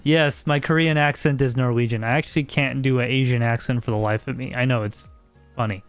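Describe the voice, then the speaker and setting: A man's voice: mid-range voice, fairly even intonation, brisk, clean tone, faint music behind. An American man hosting a casual podcast livestream, chatting with viewers and reading their messages, often repeating words as he thinks aloud. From United States.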